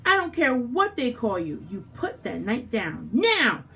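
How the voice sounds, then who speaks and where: A woman's voice: fairly high pitch, big pitch swings, unhurried, vocal fry, fairly bright tone, heavily acted. A woman voicing a brave, smart, bossy young damsel in a picture book read-aloud. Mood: commanding.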